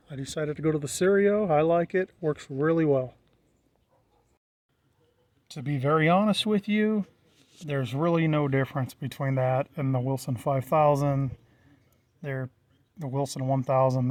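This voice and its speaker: A man's voice: a little high, moderate pace, dry recording. A middle-aged American man giving a casual, unscripted walkthrough in a plain-spoken, matter-of-fact way. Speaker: hobbyist presenter.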